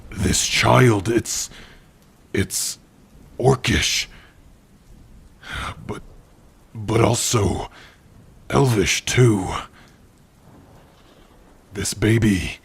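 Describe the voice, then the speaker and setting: Man voicing a very husky, gentle orc. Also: exaggerated voice. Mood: startled, concerned. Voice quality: husky, breathy, low. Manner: soft, halting, hushed, intimate. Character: gentle, protective male orc, tender and worried partner. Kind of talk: ASMR roleplay acting.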